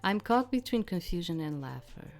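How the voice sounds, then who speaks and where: A woman's voice: lowish voice, big pitch swings, normal speed, soft background music. A woman streaming a game, reading its text aloud and adding casual, rambling commentary as she searches for words.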